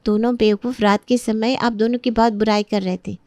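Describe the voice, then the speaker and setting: A woman acts out a young pregnant daughter-in-law in a family storytelling drama. Her voice is high and recorded very dry. She speaks as a resentful, gossiping in-law nursing her grievances. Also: fairly even intonation, brisk, darkish tone, no room sound, lightly acted. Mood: gossiping, aggrieved.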